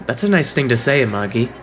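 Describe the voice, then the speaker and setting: A man's voice: medium pitch, a bit bright, a little hiss or hum. A man voicing a suave, slyly playful young idol leader in an anime fandub, composed and teasing.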